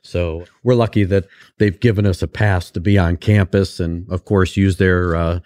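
A man with a very low voice co-hosts a podcast from a studio, friendly and a bit rambling, filling gaps with "uh". The sound is close and dry. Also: a little mellow, no room sound. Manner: genial and rambling, with many "uh" fillers. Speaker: podcast co-host. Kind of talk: casual podcast chat. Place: university radio studio.

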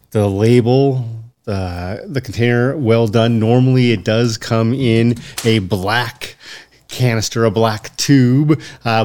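A man co-hosting a podcast in casual chat, speaking in a measured way with dry joking asides. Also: medium pitch. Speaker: podcast co-host.

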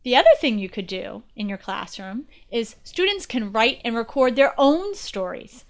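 A woman's voice: a little high, sing-song, moderate pace. An American woman guest-hosting a podcast, giving a scripted educational presentation in a chatty manner.

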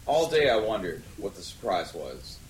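A man's voice: low-pitched, big pitch swings, moderate pace. A man co-hosting a podcast, reading fan fiction aloud in a mock-dramatic way and exclaiming the dialogue. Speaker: podcast co-host. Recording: roomy sound with faint noise.

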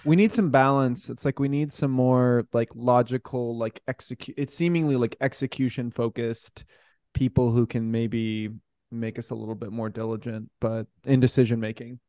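Man, mid-pitched voice, halting casual delivery, podcast co-host, very dry close sound. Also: fairly even intonation, warm tone, no room sound. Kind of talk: casual chat.

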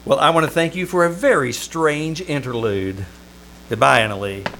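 A man's voice: fairly high pitch, moderate pace. A man playing a wry, lovesick and easily flustered Hollywood press agent in an old-time radio comedy performed on a theater stage. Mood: bitter. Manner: wry, excitable, stammering when flustered. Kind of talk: old-time radio comedy acting with first-person narration. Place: small-town theater stage. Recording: noisy live theater stage recording.

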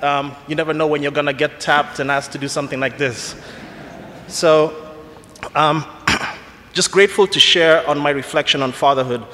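A man in his forties with a Kenyan accent, giving an earnest, measured reflection to a church congregation. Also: a little high, a bit bright. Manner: earnest, measured, slightly self-deprecating. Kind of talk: prepared church talk. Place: church sanctuary. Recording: long church reverb.

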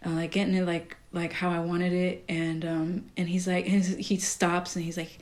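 A woman from Costa Rica, a filmmaker talking as a guest in a casual interview, lively, with frequent laughter and many fillers. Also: on the low side, moderate pace.

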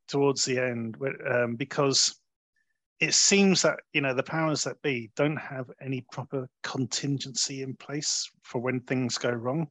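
A man hosting a conversational film review, talking in a halting way and often rephrasing as he goes. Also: medium pitch, fairly even intonation, a bit bright, no room sound. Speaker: film review podcast host.

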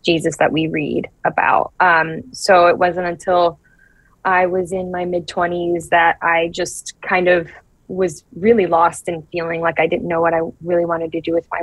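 A woman from Brazil, in her thirties, answering interview questions as an actress in a thoughtful, halting way with many restarts. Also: fairly low pitch, dry recording, poor audio. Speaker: actress guest.

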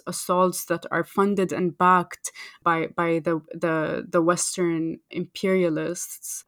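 A woman from Palestine, a guest in a political interview, speaking in a measured way and repeating words as she thinks. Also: on the low side, no room sound, clean studio sound.

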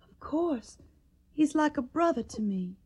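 An actress playing an earnest, devoted young Tennessee daughter in a radio drama, with a soft, breathy, tender way of pleading. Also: female voice, high voice, lively intonation, measured pace. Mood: anxious.

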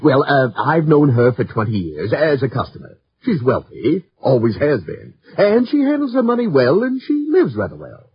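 A man's voice: medium pitch, wildly swooping pitch, normal speed, hardly any pauses, breathy edge, no echo, exaggerated voice. An actor playing an uneasy, deferential male insurance broker in a 1950s radio drama, hesitant and polite. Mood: uneasy, obliging. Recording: muffled 1950s radio recording.

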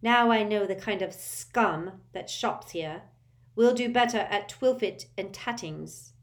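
A woman's voice: medium pitch, lively intonation, unhurried, natural voice. A woman voicing a cold, haughty aristocratic witch mother who speaks with contempt.

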